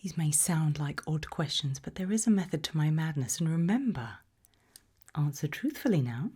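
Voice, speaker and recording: A British woman playing an eerie, probing researcher of human behaviour in a whispered ASMR roleplay, slow, deliberate and softly menacing. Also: low voice, expressive, heavily acted. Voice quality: breathy whisper.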